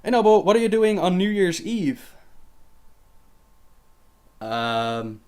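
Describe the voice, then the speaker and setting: A man's voice: sits high, big pitch swings, slow, a little mellow, clear, resonant tone. Chatty young man answering viewer questions. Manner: chatty, reads questions aloud then answers with fillers and laughs. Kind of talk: casual livestream Q&A.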